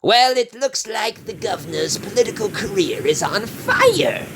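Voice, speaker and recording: A man's voice: sits high, lively intonation, measured pace, background noise, pitched above the performer's own voice. A man voicing a gleeful, creepy ghoul who hosts horror comic tales with puns. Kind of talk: dramatic comic-book horror reading.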